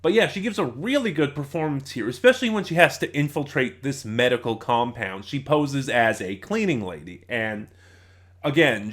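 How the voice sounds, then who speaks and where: A man's voice: mid-range voice, fairly bright tone. A man giving a casual, rambling review as a reviewer, opinionated but often hesitant and digressive.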